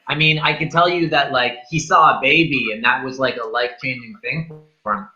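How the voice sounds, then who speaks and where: A man's voice: mid-range voice, fairly quick, sharp tone, highs cut off. A man in a casual film discussion, rambling and thinking aloud as he reviews. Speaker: host of a film review show.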